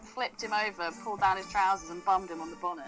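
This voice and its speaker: A woman's voice: mid-range voice, steady tone, fast, a bit bright, faint music behind, poor audio. A woman co-hosting a podcast, bantering casually with irreverent, sweary, mock-exasperated humour. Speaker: podcast co-host.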